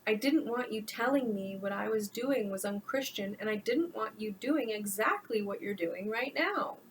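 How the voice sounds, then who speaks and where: A woman's voice: medium pitch, normal speed, slight background noise, close to an everyday voice. Woman voicing an exasperated grown son. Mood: tender. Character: young adult man, exasperated, blunt.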